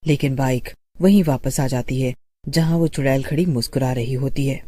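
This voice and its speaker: A woman's voice: rumbling low, moderate pace, warm tone. A woman storyteller reading a scripted horror tale as narrator.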